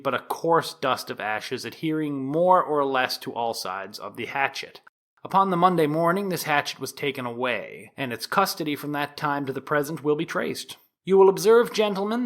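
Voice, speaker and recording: A man playing a formal, deliberate 1890s prosecutor addressing a jury in a courtroom drama, in measured oratory with emphatic stresses. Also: medium pitch, a bit bright, natural voice. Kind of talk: dramatized courtroom opening statement.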